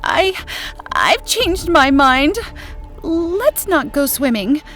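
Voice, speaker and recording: A woman's voice: high-pitched, very animated, extremely slow, fairly bright tone, faint music behind, pitched above the performer's own voice, full character voice. A woman voicing a frightened, pleading young wife in a horror story.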